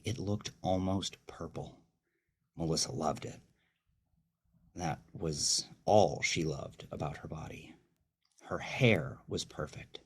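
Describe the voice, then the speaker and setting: A man narrating dark fiction as a horror story host, with slow, brooding, deliberate dramatic delivery and a very deep, husky voice.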